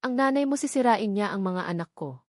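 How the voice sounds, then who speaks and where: A woman's voice: medium pitch, expressive, a little fast, darkish tone, no echo, studio quality, close to an everyday voice. Frightened, urgent mother, played by a woman narrator. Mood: terrified. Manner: urgent, fearful. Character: frightened, bitter mother desperate to protect her children. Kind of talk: audio drama dialogue.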